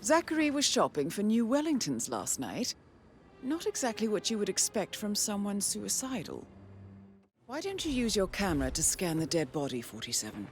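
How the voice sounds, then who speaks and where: An actress playing a poised, dry-witted British intelligence handler, composed and articulate in a scripted game. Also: female voice, medium pitch, big pitch swings, normal speed, faint music behind, lightly acted. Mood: composed, wry. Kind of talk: scripted game mission dialogue.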